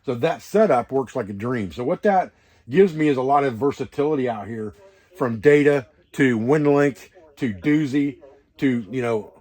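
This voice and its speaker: A man's voice: mid-range voice, normal speed, darkish tone. A man with a mid-range voice hosts an instructional vlog in a casual register. He explains in a loose, rambling, practical manner, like an instructor walking viewers through a setup. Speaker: outdoor preparedness instructor and video host. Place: wall tent at a hunting camp.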